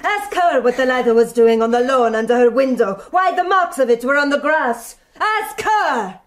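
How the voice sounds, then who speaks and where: An actress playing a bitter, accusing hotel proprietress, scornful and goading in a radio drama. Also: female voice, sits high, expressive, moderate pace.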